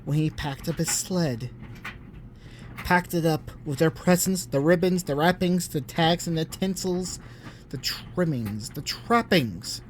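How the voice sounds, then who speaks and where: A narrator giving a theatrical, expressive read-aloud of a rhyming children's story. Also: mid-range voice, measured pace, a little hiss or hum.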